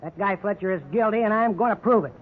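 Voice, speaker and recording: High-voiced, bullying old-time radio cop. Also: male voice, fast talker. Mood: irritated, stubborn. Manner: sarcastic, domineering. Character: bullying, cocksure veteran police officer bent on a conviction. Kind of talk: 1950s radio drama acting. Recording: tinny, noisy old radio recording.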